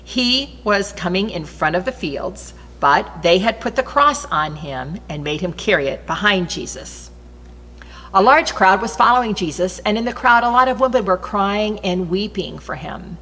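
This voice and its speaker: A woman reading aloud from the Bible as an expressive scripture narrator. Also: medium pitch, normal speed. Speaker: scripture reader. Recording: long reverberant hall echo.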